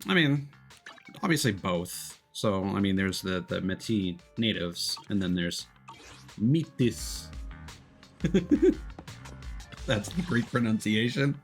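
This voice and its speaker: A man's voice: fairly low pitch, expressive, slow pace, pauses often. A man streaming a video game, chatting casually and reading the game's text aloud, often stumbling over repeated filler words. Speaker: VTuber streamer. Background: faint game music.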